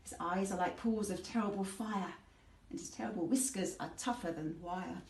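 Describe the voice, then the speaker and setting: A big shaggy Gruffalo father, as a woman reading aloud puts him on, telling his tale in a bouncy rhyming lilt. The sound is roomy and picked up from a distance. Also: mid-range voice, normal speed, darkish tone, close to an everyday voice. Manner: storybook read-aloud, sing-song rhyme.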